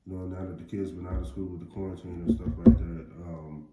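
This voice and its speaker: A middle-aged American man with a deep voice, chatting casually in a rambling, plain-spoken way as a parent giving advice. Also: little pitch movement, fast. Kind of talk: casual chat. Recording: roomy echo, faint background noise.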